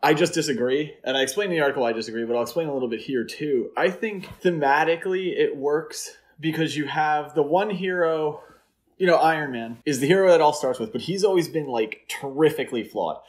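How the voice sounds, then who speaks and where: A man's voice: a little high, a little fast. A man co-hosting a podcast, talking through his opinions in a casual, explanatory, conversational way. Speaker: podcast co-host and article writer. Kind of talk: casual film discussion podcast.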